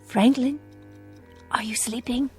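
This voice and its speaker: An actress playing an anxious, devoted wife in a radio drama, genteel, careful and imploring. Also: female voice, fairly high pitch, fairly even intonation, takes it slowly, warm tone, faint music behind. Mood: concerned.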